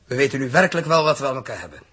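An actor playing a calm, resolute spaceship captain with a husky, measured voice in a 1960s radio drama. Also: male voice, mid-range voice.

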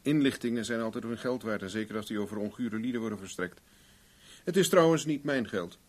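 A man's voice: fairly low pitch, brisk, close to an everyday voice. Dry-witted male actor as private investigator. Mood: dry, persuasive. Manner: formal, sardonic, questioning. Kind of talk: Dutch 1970s radio play dialogue.

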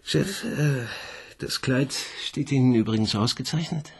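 A man's voice: medium pitch, measured pace, husky. An actor playing a junior police detective in a radio crime drama, eager and deferential, chatty with his boss.

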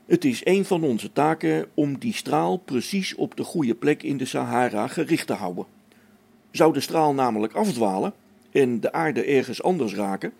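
A man's voice: mid-range voice, vocal fry, a little mellow, slightly rough, heavily acted. A man playing a practical, patient engineer on a space station in a science fiction radio play, explaining things matter-of-factly.

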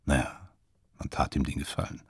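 An actor playing an older film insider who reminisces wryly in halting, musing fragments, with a husky voice, in a radio drama. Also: male voice, dry recording, studio quality, close to an everyday voice. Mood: wry reminiscing. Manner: halting, musing, short fragments, chuckling asides. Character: old German film insider wryly reminiscing about bygone days.

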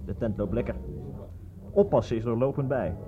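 A man's voice: medium pitch, normal speed, close to an everyday voice. Radio play: brash, slangy barman male actor, cocky, oily, mid-range voice; muffled sound, music. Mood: jovial, ingratiating. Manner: cocky, chuckling, fawning to police. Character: brash, slangy barman of a shady Paris bar.

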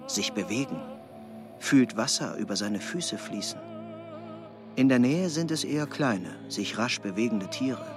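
Mid-pitched man, clinical scripted reading, formal, clear music behind. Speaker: narrator.

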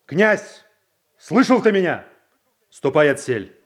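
An actor playing a proud prince guarding family honour, with stern, deliberate classical radio-drama delivery. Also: male voice, on the high side, big pitch swings. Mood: stern anger. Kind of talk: Russian classical stage acting, radio play.

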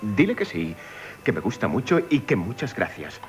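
An actor playing an irascible, woman-hating, gruff gentleman with a Castilian Spanish accent in a theatrical radio play. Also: lowish voice, very animated, normal speed, faint music behind. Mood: grudgingly pleased. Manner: blustering, exclamatory, theatrical. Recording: faintly noisy 1970s radio drama recording.

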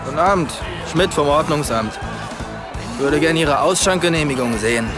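An actor playing a dry, officious public order official speaking formal officialese in a German radio drama. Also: male voice, a little high, animated, moderate pace, music in the background, a crowd in the background, lightly acted.

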